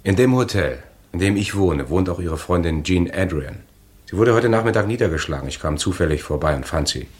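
An actor playing detectives and frightened men in old radio crime dramas, unstrained delivery. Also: male voice, sits low, brisk, darkish tone, close to an everyday voice. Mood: tense. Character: adult male characters, from a frightened coward to a hard-boiled detective.

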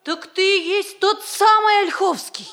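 An actress playing a coy, quick-tempered young sweetheart, theatrical and emotive, in 1960s radio comedy style. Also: female voice, very high-pitched, a bit bright, heavily acted. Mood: shocked, indignant. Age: young adult.

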